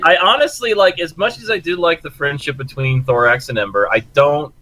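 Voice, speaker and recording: A man's voice: fairly high pitch, fairly quick, bright, forceful tone, poor audio. A man co-hosting a casual group discussion of a cartoon episode, speaking in an opinionated, emphatic way. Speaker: podcast co-host.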